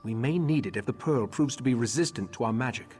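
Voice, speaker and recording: An actor playing a solemn, scholarly master of a magical order, speaking formally and deliberately in a fantasy game. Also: male voice, mid-range voice, over music, close to an everyday voice. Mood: solemn, instructive. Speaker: quest-giving leader of a magical order. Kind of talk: scripted fantasy game dialogue.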